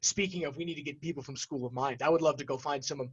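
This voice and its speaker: A man's voice: a little high, fairly even intonation, fast, breathy edge, highs cut off. A man co-hosting an energy industry talk show in casual banter, rambling and often restarting his sentences. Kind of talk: casual unscripted banter and commentary.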